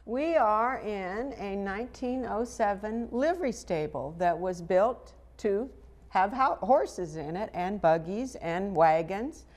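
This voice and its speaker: A woman's voice: medium pitch, expressive, takes it slowly, a little mellow. An older American woman speaking informally as an interviewee, on a lapel mic in a large old building. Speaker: museum representative, interviewee. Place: large old brick livery stable, indoors.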